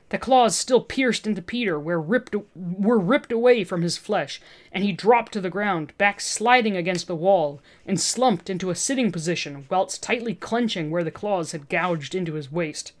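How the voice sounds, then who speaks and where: A woman reading fiction aloud as narrator, in a dramatic scripted storytelling style. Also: mid-range voice.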